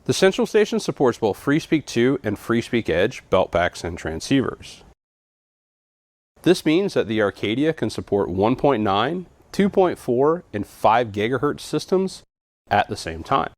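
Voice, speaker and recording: A man's voice: mid-range voice, sing-song, a little slow, no room sound. A man giving a scripted, methodical product walkthrough in an instructional way, as a trainer would.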